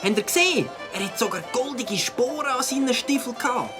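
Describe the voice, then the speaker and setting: An eager, naive cartoon boy voiced by a woman chatters with lively swings in pitch. Music plays clearly behind the voice. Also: a little fast, natural voice. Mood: eager, impressed. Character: enthusiastic, trusting young boy, best pal. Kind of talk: cartoon character acting.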